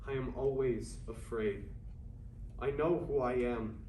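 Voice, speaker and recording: A man playing a yearning 1920s writer of secret love letters in an earnest, measured staged play reading. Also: mid-range voice, fairly even intonation, natural voice. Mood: resolute, wistful. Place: indoor room at a staged reading. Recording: echoey room, distant mic, faint noise.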